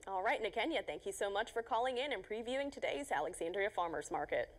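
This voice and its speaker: A woman's voice: medium pitch, expressive, fast talker. Young woman news anchor, friendly, conversational studio delivery. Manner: friendly, with light laughs. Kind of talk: studio interview hosting.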